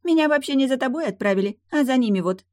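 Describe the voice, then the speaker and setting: Woman voicing a high, brash, teasing young mermaid. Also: lively intonation, fast, darkish tone, no room sound, studio quality. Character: young female lake mermaid, teasing, carefree, sly and haughty.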